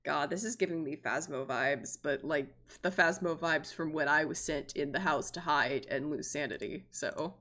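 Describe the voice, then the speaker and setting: A woman's voice: sits low, moderate pace, hardly any pauses, pushed and bright. A woman streamer reading a visual novel aloud, expressively and theatrically, with joking asides. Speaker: streamer, virtual YouTuber.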